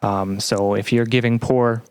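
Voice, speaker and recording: A man answering as a podcast guest in a conversational, explanatory way, often pausing to search for words. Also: medium pitch, steady tone, clean tone, no room sound. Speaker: podcast guest, student game developer.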